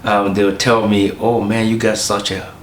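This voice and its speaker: A man from Cameroon with a Cameroonian accent, a pastor speaking in a documentary interview, measured and pausing with fillers. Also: lowish voice, level tone. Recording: roomy with faint ambient noise.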